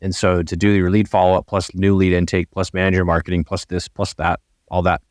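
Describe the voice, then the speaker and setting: A man co-hosting a real estate podcast, talking quickly and agreeably. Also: sits low, flat delivery, a little mellow, smooth, dry recording, studio quality. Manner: quick, agreeable, jumps in with affirmations. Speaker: podcast co-host. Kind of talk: casual podcast chat.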